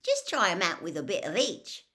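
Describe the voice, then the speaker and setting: A woman voicing a huge, cheerful half-giant gamekeeper in an audiobook, loud and enthusiastic. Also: lowish voice, huge pitch swings, normal speed, heavily acted. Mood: hearty. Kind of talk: audiobook character voice.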